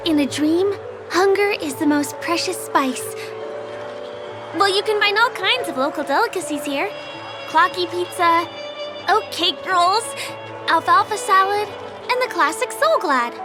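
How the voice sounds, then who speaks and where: An actress voicing a friendly young woman who is a guide and stage performer, speaking gently and eager to please. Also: very high voice, expressive, measured pace. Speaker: game character. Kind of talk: game dialogue voice acting. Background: game music.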